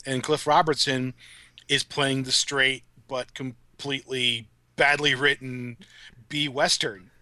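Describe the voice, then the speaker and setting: A man's voice: mid-range voice, flat delivery, slow, bright, forceful tone, dry recording. A man speaking as a guest writer in a casual podcast discussion, articulate and enthusiastic. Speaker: guest, writer of audio dramas.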